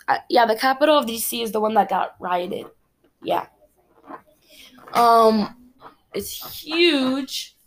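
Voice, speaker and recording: A woman's voice: on the high side, a bit bright. A teenage girl from Cameroon hosting her own podcast, talking in a chatty, rambling, earnest way. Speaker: podcast host. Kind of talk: casual solo podcast chat.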